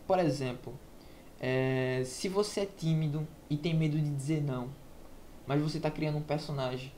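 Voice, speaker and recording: A man's voice: on the high side, darkish tone. Man preaching self-improvement casually. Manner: casual, preachy, lots of rhetorical questions. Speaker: self-help channel host. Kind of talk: motivational monologue to camera. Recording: faint background noise, lo-fi app.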